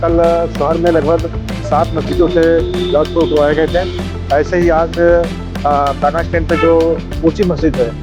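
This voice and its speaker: A man's voice: a little high, little pitch movement, measured pace. A man giving a spoken statement for the news, as an official. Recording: loud music over news clip. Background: loud instrumental music with drum and guitar.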